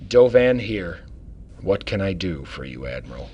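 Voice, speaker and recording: A man playing a husky, steady starship commander. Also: low voice, measured pace, lo-fi sound, exaggerated voice. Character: steady male starship commander, acting captain. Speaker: acting captain.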